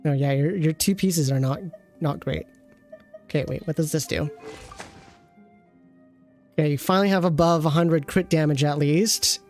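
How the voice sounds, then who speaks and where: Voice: medium pitch, normal speed, pauses often, darkish tone, faint music behind. A game streamer reviewing an account with casual commentary, thinking aloud and often trailing off mid-thought.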